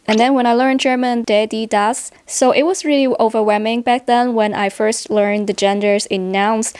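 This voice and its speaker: A woman from Hong Kong, a native Cantonese speaker with a Hong Kong accent, talking to camera in a measured, deliberately careful way. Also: fairly high pitch, darkish tone, clear, resonant tone, no echo. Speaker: vlogger. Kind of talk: personal vlog talk to camera.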